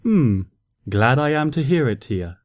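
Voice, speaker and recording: A man voicing a wise, gentle female moon princess pony who speaks in a formal, slightly old-fashioned way in a storybook reading. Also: medium pitch, sing-song, unhurried. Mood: fond. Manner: formal, archaic-tinged, tender speech.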